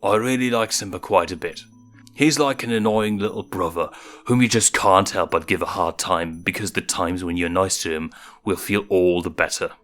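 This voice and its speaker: A man's voice: low voice, normal speed, a bit bright. Guy doing a cocky lion cub, teasing big bro type, casual talk, storybook, music behind. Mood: frank, fond.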